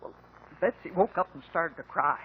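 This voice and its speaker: An actress playing a rural American older mother confessing in halting, folksy speech in a radio drama. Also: fairly low pitch, animated, slight background noise, natural voice. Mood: distressed confession. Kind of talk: 1950s radio drama acting. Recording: muffled 1950 radio recording.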